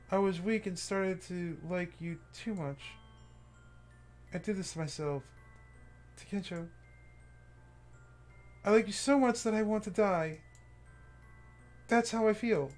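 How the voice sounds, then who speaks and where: A man's voice: slow, long pauses, a little mellow. A man voicing a cheerful, clingy teenage girl from a visual novel, in a raised girlish voice that turns tearful. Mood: scared. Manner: high girlish voice, breaking into tearful pleading. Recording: faint game music behind.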